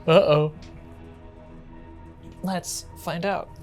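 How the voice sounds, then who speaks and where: A woman's voice: on the low side, steady tone, extremely slow, darkish tone, faint music behind. A woman running a tabletop roleplaying game, improvising scene descriptions as game master.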